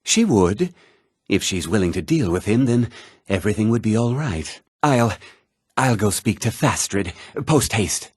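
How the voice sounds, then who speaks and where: A man's voice: on the low side, big pitch swings, a little slow, darkish tone, breathy edge, no room sound, studio quality, heavily acted. A man playing an ardent, smitten suitor in a video game, with a romantic, theatrical way of speaking. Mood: ardent, urgent. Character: smitten male suitor, ardent and scheming to elope. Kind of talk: video game character dialogue.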